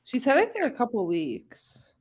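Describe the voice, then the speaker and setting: A woman's voice: a little high, huge pitch swings, moderate pace, breathy edge. A woman co-hosting a book chat, speaking in an informal, conversational way. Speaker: book club live show co-host. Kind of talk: casual book discussion chat.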